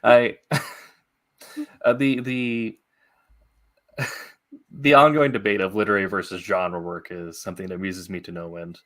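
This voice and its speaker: A man hosting a casual interview about writing, speaking in a halting, thoughtful way as a fellow writer. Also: on the low side, steady tone, a bit bright. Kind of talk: casual podcast interview chat.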